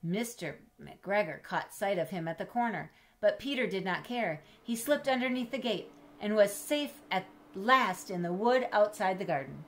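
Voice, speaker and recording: A middle-aged woman reading a children's storybook aloud in an expressive, measured way, as if to young listeners. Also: mid-range voice. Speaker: storybook reader. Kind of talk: children's read-aloud with chatty asides. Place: indoors in a home room with bookshelves.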